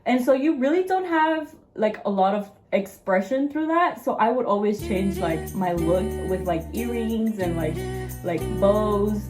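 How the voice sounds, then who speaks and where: A woman's voice: medium pitch, lively intonation, measured pace, music in the background. A young woman co-hosting a casual talk show over a video call, joking and playful. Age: young adult. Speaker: co-host. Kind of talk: casual chat.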